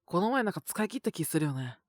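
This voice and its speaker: A man with a sore, scratchy throat and a cough, chatting casually and intimately in soft, hesitant murmurs as if to someone beside him. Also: high voice, big pitch swings, fast, no echo, studio quality. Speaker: voice actor making situation-voice videos. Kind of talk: intimate casual chat to an unheard partner.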